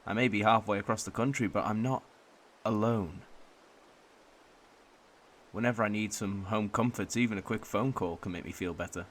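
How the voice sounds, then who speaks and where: Low-voiced wistful young man actor's monologue. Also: moderate pace, long pauses, slight background noise, lightly acted. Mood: warmly nostalgic. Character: wistful, homesick twenty-two-year-old man visiting home. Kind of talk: reflective radio drama monologue.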